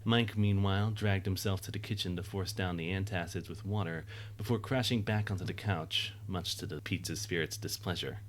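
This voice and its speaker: Low-voiced man narrating a drama. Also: normal speed, few pauses, slight background noise. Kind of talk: scripted dramatic story narration.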